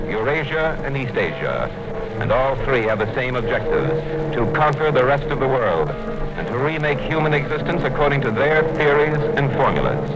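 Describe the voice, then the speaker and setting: A man narrates a 1950s radio play in a grave, deliberate tone. His pitch moves a great deal. Music plays clearly under the old broadcast. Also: rough recording.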